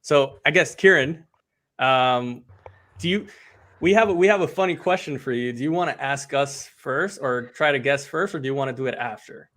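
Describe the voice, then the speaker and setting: A man from Puerto Rico hosts a relaxed conversation, friendly and informal, tossing in "dude" and "man". His voice is of ordinary middle pitch, and his delivery is loose and unhurried. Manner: friendly, laid-back, uses "dude" and "man". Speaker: podcast host. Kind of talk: casual chat.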